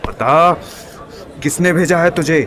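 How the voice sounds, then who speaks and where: A man's voice: on the high side, fairly even intonation, slow pace, background noise. A man playing a tough, irritated young brawler hero in a comic audio drama. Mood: demanding.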